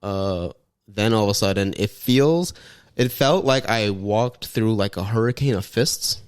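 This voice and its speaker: A young man co-hosting a podcast, a little sniffly from allergies, telling a story in animated casual chat with some cursing. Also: on the low side, unhurried, smooth, no room sound. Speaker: podcast co-host.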